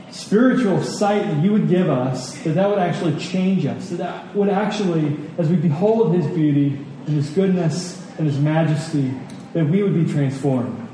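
High-voiced man preaching in an echoey hall. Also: mellow. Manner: rhetorical questions, repeated phrases for emphasis. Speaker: pastor preaching. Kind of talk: sermon to a congregation. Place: large room at a church gathering. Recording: echoey hall, distant mic, faint noise.

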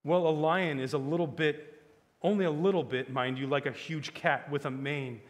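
A man's voice: on the high side, fairly even intonation, moderate pace, big-room sound, lightly acted. A man voicing a plucky, blunt English schoolboy in a children's fantasy audiobook, with hearty old-fashioned slang. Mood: exasperated, earnest.

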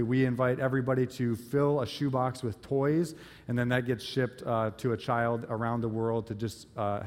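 A man, an outreach pastor, giving casual church announcements with frequent pauses and fillers. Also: mid-range voice, darkish tone. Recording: long reverb of a church hall.

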